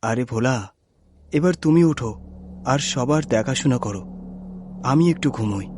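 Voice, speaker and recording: A man's voice: medium pitch, expressive, a little slow, background noise, lightly acted. A man voicing a brave, helpful young guard in a cartoon fairy tale. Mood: casual. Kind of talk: cartoon story voice acting. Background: music.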